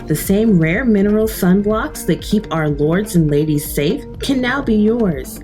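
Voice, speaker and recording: A woman plays a cheery commercial announcer. Her mid-pitched selling delivery swings freely up and down, with music clearly behind it. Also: animated, unhurried, dark tone, lightly acted. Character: bright-mannered female sunscreen advertisement announcer. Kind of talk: scripted advertising voice-over.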